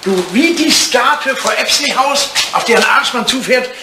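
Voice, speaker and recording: An actor playing an ageing English lord, fussy, wry and a little vain, in a retro radio comedy. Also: male voice, extremely high pitch, normal speed. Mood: wistful, boastful. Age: older. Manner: fussy, hesitant, digressive. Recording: roomy, noisy vintage radio play recording.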